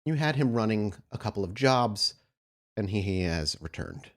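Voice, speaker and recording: A man's voice: on the low side, expressive, normal speed. A man working as game master, improvising descriptive narration for a tabletop roleplaying game.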